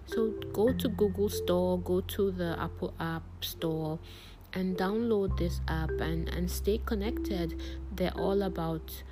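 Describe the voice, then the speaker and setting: A woman hosting a show, talking in a soft, soothing, half-whispered way. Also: on the low side, slow pace. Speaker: host. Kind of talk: reflective monologue. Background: loud music.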